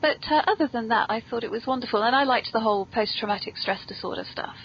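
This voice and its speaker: A British woman speaks in a fairly high voice, chatting casually as a podcast host. She stresses her points with chatty emphasis. The recording is lo-fi and dull, with clear background noise. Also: quick pace. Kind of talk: casual interview chat about films.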